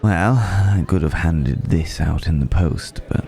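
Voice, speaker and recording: An ageless, indulgent vampire gentleman, acted by a man, speaks in a very deep, unhurried, mellow voice. He is courtly and teasing, lingering over his words in an intimate ASMR roleplay. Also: soft background music. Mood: playful, celebratory. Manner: leisurely, courtly, teasing, with hesitant pauses and hums.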